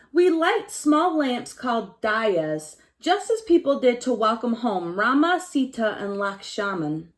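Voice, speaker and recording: A woman teacher reading a picture book aloud to young pupils, stopping to explain things in simple words and put questions straight to the children. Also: fairly high pitch. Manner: simple explanatory phrasing, addresses children directly, emphasizes key words.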